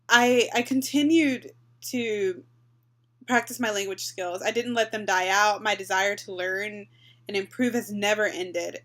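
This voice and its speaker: A woman's voice: mid-range voice, a bit bright. A woman in her twenties from Texas, talking casually to her webcam with lots of "um" and "like" and a few Spanish phrases, recorded in a small bedroom on a built-in webcam mic. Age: 20s. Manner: chatty, frequent "um" and "like", mixes in Spanish phrases. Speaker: vlogger. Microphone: built-in webcam mic, close.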